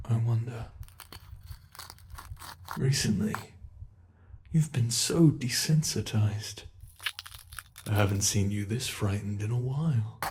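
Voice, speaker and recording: A man voicing a slow, taunting sadistic monster. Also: medium pitch, a little mellow, close to an everyday voice. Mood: musing, cruel. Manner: slow, taunting, relishing cruelty. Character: sadistic male monster that reshapes its captive. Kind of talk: ASMR horror roleplay.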